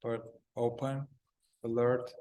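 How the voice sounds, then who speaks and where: Slow, even-toned man guiding meditation. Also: mid-range voice, low-quality recording. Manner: calm, halting phrases with long pauses. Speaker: meditation guide. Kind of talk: guided meditation.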